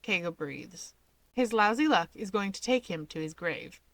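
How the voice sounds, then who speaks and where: A woman giving an expressive scripted narration of a fiction story. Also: mid-range voice, normal speed.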